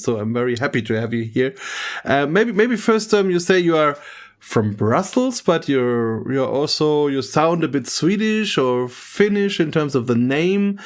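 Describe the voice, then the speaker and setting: A man hosting a podcast, chatting casually, laughing often, with halting phrasing. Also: medium pitch. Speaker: podcast host.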